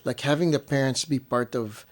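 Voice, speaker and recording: A man's voice: medium pitch, moderate pace. A man co-hosting a family show as a parent, talking in a casual conversational way, often adding "you know" and repeating phrases for emphasis. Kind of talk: casual conversational chat with partner.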